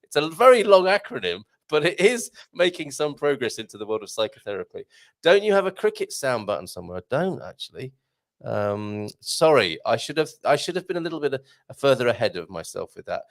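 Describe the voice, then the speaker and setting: A man's voice: medium pitch, sing-song, a little fast. A man hosting a live chat show, joking around, laughing often and reading out viewer comments. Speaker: host.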